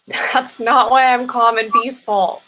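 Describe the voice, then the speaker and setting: A woman's voice: on the high side, steady tone, slow pace, bright, forceful tone, exaggerated voice, poor audio. A woman in a live play reading, playing a guarded but serene woman with a wry, hesitant way of talking. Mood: calm, sincere. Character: guarded, serene woman meeting an old friend.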